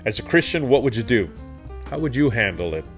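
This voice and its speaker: A man's voice: medium pitch, sing-song, brisk, a bit bright, soft background music. A man hosting an interview, upbeat and jokey in his chat. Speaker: host. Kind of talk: friendly interview.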